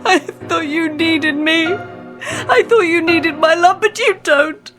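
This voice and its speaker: An actress playing a repressed, long-suffering wife with formal diction in an emotional old-time radio drama style. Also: female voice, very high-pitched, expressive, slow, over music, exaggerated voice. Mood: tearful fury.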